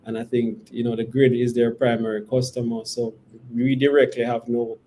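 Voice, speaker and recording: A man with a mellow, relaxed voice answers investor questions as a company executive. He phrases things carefully and hesitantly, and there is steady noise in the background. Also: medium pitch, normal speed.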